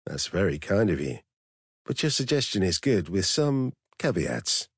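A man voicing a suave, measured male lover with a polished English accent and dry humor. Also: deep voice, big pitch swings, husky, no echo, full character voice. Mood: cool, teasing.

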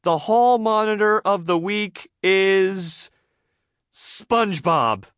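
A man's voice: sits high, animated, slow, a bit bright, clean tone, dry recording, clean studio sound, pitched above the performer's own voice, full character voice. A man voicing a flustered, exasperated female pufferfish schoolteacher in a children's storybook, hesitant and full of dread. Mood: resigned, annoyed.

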